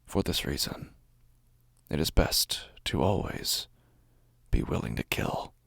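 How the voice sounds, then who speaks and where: A man playing a dazed, fearful narrator of a horror audio drama, speaking in halting phrases with a low, gravelly, breathy voice that often nears a whisper. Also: lively intonation, fairly bright tone, no echo, heavily acted. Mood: cold, measured. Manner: slow, halting, broken phrases with heavy pauses. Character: dazed, fearful man narrating his own waking nightmare. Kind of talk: first-person horror audio fiction narration.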